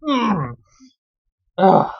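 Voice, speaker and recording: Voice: medium pitch, sing-song, extremely slow, gravelly, muffled recording. A sarcastic, exasperated reviewer who swears freely while giving casual commentary on a story.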